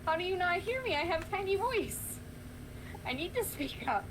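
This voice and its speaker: A young woman with a high, swooping voice reacts quickly and sarcastically in casual chat. Clear background noise sits under her home recording. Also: big pitch swings. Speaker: guest streamer.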